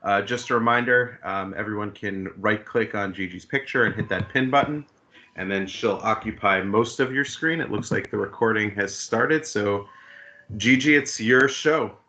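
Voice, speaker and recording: A meeting host handing over to a presenter on a video call, giving brisk, practical reminders. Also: mid-range voice, sharp tone.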